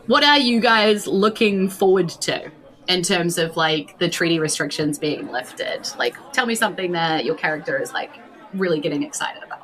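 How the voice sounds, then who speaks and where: A woman's voice: fairly low pitch, animated, normal speed, a bit bright. A woman with a New Zealand accent narrating a tabletop roleplay game as game master in a chatty, informal way.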